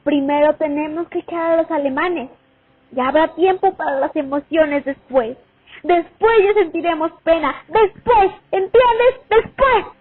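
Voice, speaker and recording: A woman's voice: very high voice, measured pace. A woman playing a young Soviet sniper haunted by her war memories in a radio play. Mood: angry, scolding. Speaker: actor in a student radio drama. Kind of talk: dramatic first-person monologue, radio play. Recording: muffled phone-like recording.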